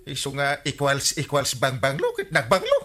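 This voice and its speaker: A man with an Ilocano accent playing a vain, comical, excitable village captain in a radio comedy drama, exclaiming in an exaggerated way. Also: mid-range voice, moderate pace, heavily acted. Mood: excited, comic.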